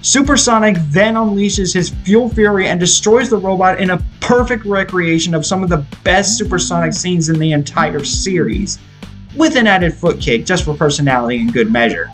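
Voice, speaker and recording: A man's voice: high-pitched, normal speed. A man delivering a scripted film review as an earnest, opinionated fan, speaking as a video essayist. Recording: music playing behind the voice. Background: guitar rock music.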